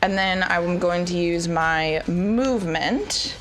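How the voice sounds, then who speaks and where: A woman's voice: on the low side, slow pace, soft background music. A woman playing a tabletop role-playing game, casually narrating what her character does.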